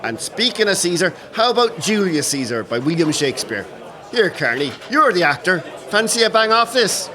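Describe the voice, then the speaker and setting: A man's voice: high-pitched, sing-song, normal speed. An actor playing a wry, bookish rebel major, fatherly with his men, in an audio play with an Irish accent. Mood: jovial. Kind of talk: audio drama acting. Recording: busy background crowd noise.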